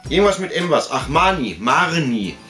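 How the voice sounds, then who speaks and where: A man's voice: on the high side, big pitch swings, moderate pace, bright, forceful tone, soft background music. A man, chatting casually in a rambling, colloquial way that often interrupts itself. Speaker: video host showing collectibles. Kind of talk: casual vlog chat. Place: indoor room full of collectibles.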